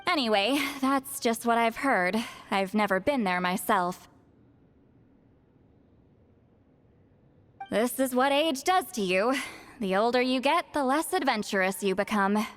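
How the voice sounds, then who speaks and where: A woman voices a mystical shaman elder who sounds youthful, her voice on the high side. She talks in a measured, knowing way, like a storyteller in a game cutscene. Faint music sits underneath. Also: animated, lightly acted. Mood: wry, matter-of-fact. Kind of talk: video game cutscene acting.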